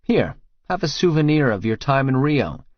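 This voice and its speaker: A man's voice: medium pitch, sing-song, moderate pace, dry recording, muffled recording, pitched above the performer's own voice, close to an everyday voice. A man voicing a tough, sardonic fighter who questions a captive in an audiobook, wry and commanding. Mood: brisk, wry. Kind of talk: audiobook character dialogue.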